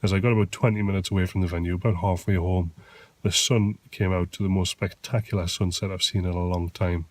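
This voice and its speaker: A man's voice: deep voice, fairly even intonation, brisk. A man who shoots weddings, chatting casually to camera, tired and nasal from a cold. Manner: chatty, rambling, down to earth. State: tired after a long day. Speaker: wedding photographer vlogger. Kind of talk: casual vlog chat to camera. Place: in a car arriving home.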